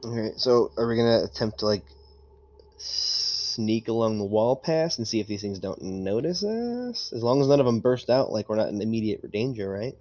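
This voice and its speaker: A man's voice: fairly low pitch, animated, moderate pace, a little mellow. A man playing a tabletop roleplaying game, talking casually with the other players. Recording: faint background noise.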